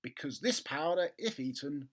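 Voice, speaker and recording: A man's voice: on the high side, animated, normal speed, lightly acted. Emphatic product-label announcer, done by a man. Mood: emphatic, promotional. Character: product label read out like a pompous advertisement.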